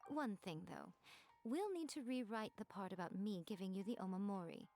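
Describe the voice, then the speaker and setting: Poised priestess acted by a woman, medium pitch, sly, confident, teasing, knowing, video game dialogue. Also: animated, normal speed, dark tone, faint music behind, lightly acted. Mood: composed, scheming.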